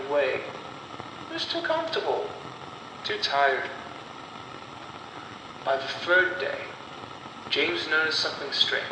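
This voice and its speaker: Male horror storyteller, slightly high pitch, dramatic pauses, distant from mic, noisy recording. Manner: deliberate, dramatic, broken phrasing with pauses. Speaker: storyteller and narrator of horror stories. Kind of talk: scripted horror story narration. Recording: roomy echo, distant mic, clear background noise.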